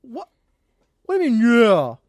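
A man's voice: very high-pitched, huge pitch swings, a little slow, darkish tone, no echo, clean studio sound. A man hosting a live podcast, chatting casually, rambling and teasing with mock boasts. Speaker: podcast host.

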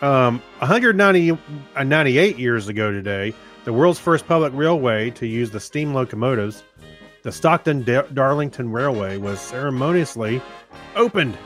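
A man's voice: mid-range voice, lively intonation, normal speed, a bit bright, faint music behind. A man co-hosting a podcast, trading easygoing casual banter and reading history items aloud. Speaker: podcast co-host.

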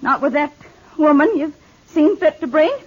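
A woman's voice: high-pitched, moderate pace, close to an everyday voice. A woman playing a haughty, scolding society wife in a 1950s radio Western, with an imperious, indignant manner. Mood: icy, indignant. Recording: dull vintage radio recording.

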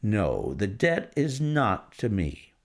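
A man voicing a calm, stern male detective who speaks in a measured, authoritative way. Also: on the low side, exaggerated voice.

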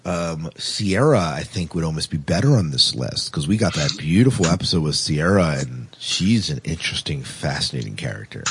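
A man's voice: rumbling low, big pitch swings, normal speed, few pauses, slight background noise. A man hosting a podcast in casual discussion, often doubling back to repeat his phrases. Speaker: podcast host. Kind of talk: casual discussion of TV sci-fi characters.

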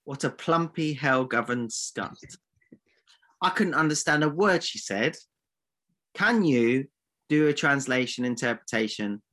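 A man co-hosting a comedy podcast, bantering with teasing, theatrical mock insults. His voice is bright and forward, at an ordinary middle pitch. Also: expressive, a little slow. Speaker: podcast co-host. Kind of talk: comic banter.

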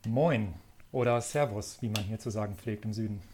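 A man in his thirties from northern Germany, a magazine editor talking as a guest in relaxed, friendly chat with frequent fillers. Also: fairly low pitch, normal speed. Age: 30s. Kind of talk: casual interview chat.

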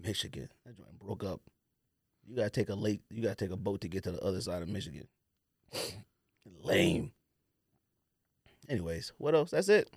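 An American man co-hosting a podcast in casual banter, joking and arguing in an animated way. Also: low voice, moderate pace, creaky, pauses often, slightly rough, no echo, studio quality. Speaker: sneaker podcast co-host.

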